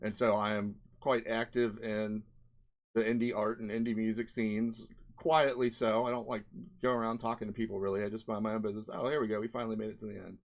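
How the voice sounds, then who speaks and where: A man's voice: on the low side, flat delivery, a little fast. A man narrating a casual screen-share vlog as an indie game developer, rambling conversationally and often checking in with "right?" and "you know".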